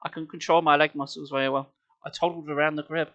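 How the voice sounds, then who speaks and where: A man's voice: fairly high pitch, flat delivery, quick pace, a bit bright, muffled recording. A man reading a story aloud on a live stream, theatrical and playful, with casual asides.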